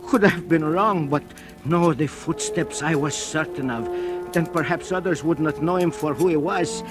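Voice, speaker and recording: An actor playing a grim, vengeful old blind and deaf cobbler, narrating his tale slowly in a 1940s radio drama. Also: on the high side, natural voice. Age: older. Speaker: narrator and lead character. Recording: old radio recording with music bed.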